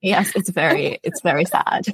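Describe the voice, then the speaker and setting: A young woman from Thailand, a guest author, speaks in a fairly low voice. Her talk is chatty and friendly, peppered with fillers. The sound is close and dry, with no room in it. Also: expressive, measured pace, slightly rough, no echo. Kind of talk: casual interview chat.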